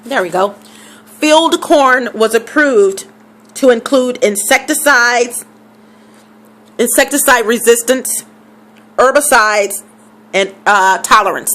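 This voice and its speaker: A woman with a somewhat high voice talks casually to her viewers, hammering home numbers by saying them again. Her throat sounds scratchy, as though she is losing her voice. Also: slow pace, a bit bright. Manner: emphatic, repeats figures for stress. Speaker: vlogger. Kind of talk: casual talk to camera.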